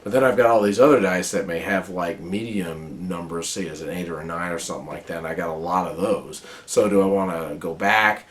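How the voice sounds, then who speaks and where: A man reviewing a board game and explaining its rules in a casual, chatty way, his voice a little raspy from a cold. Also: sits low, brisk, hardly any pauses. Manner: relaxed, chatty explaining with many fillers. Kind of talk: casual how-to-play review.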